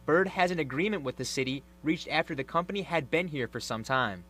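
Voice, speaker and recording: A man's voice: fairly high pitch, brisk, fairly bright tone. A man working as a TV news reporter, delivering scripted broadcast narration and live reports.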